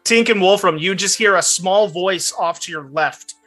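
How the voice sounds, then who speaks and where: A game master with a bright, forward voice narrates a tabletop game over faint music. The delivery is conversational and explanatory, at a middle pitch. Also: normal speed.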